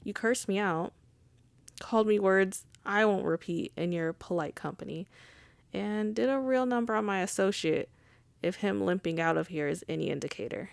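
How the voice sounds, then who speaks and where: A woman's voice: medium pitch, normal speed, gravelly, clean tone, no echo, close to an everyday voice. A woman playing a wry, charming female mafia boss in an intimate ASMR roleplay, conversational and teasing. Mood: admiring, flirty. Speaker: audio roleplay voice actor.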